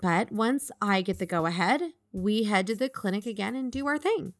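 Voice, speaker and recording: A woman's voice: mid-range voice, moderate pace, dry recording, soft background music, lightly acted. A woman playing an assured podcast investigator in a conversational audio drama, reasoning and probing persuasively. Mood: reassuring. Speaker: fictional podcast co-host.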